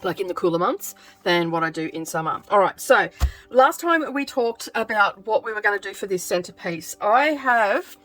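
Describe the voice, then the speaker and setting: Chatty woman with a croaky voice. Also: mid-range voice, lively intonation, moderate pace, fairly bright tone, soft background music, low-quality recording. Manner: chatty, thinks aloud with many ums. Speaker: craft vlogger. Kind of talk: casual craft vlog chat.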